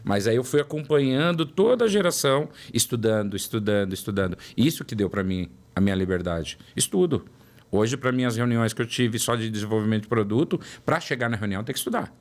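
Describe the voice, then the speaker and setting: A man's voice: medium pitch, lively intonation, a little fast. Paulistano man telling stories with emphasis. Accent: São Paulo Portuguese. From São Paulo, Brazil. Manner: storytelling, repeats words for emphasis. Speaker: guest, product development director. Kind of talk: interview conversation.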